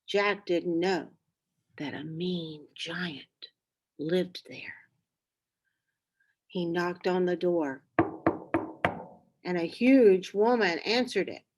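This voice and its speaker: A woman reading a children's story aloud in an expressive, deliberate way. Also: fairly low pitch. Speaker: storybook reader. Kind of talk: scripted children's story narration.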